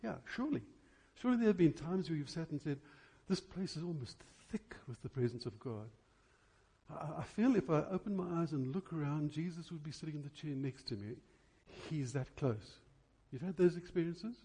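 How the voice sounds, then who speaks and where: A male preacher with a slightly high-pitched voice that is soft-edged and husky. He delivers his church sermon emphatically and dramatically, leaning on deliberate repetition to drive each phrase home. The tone stays mellow even as he stresses his words.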